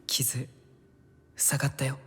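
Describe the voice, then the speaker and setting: A woman playing a gentle young male singer in an audio drama, speaking softly and hesitantly with earnest pleading. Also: slow pace. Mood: soft resolve.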